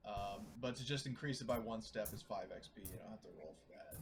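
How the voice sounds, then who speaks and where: A man's voice: on the low side, a little fast. A man in a casual post-game chat, explaining things in a hesitant, rambling way. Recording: noisy room, clear background hiss.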